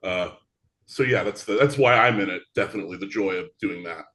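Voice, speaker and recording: A man's voice: low voice, fairly quick, fairly bright tone. A man talking casually and reflectively as a guest in an interview about his creative work.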